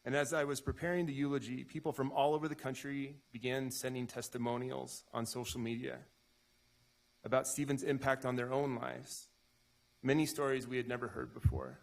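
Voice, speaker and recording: A man reading the part of a grieving brother giving a measured, solemn impact statement in court. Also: medium pitch, creaky, natural voice, poor audio. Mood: solemn, grateful. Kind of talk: staged reading of a prepared statement.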